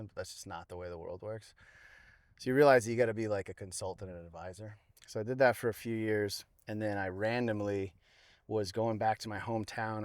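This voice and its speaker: A man's voice: lowish voice, dry recording. A man in his thirties, a guest chatting confidently outdoors on a golf course about his career. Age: 30s. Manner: confident, animated storyteller. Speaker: guest, retirement plan executive. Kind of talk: casual chat on a golf course.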